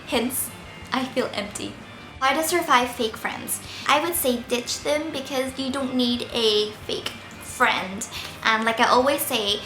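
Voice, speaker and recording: Woman vlogger in her twenties, squeaky and slightly husky from a sore throat, bright tone, roomy echo with faint music underneath. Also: a little hiss or hum. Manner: chatty, playful, giggly. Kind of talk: casual Q&A vlog. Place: indoors at home, seated by window light.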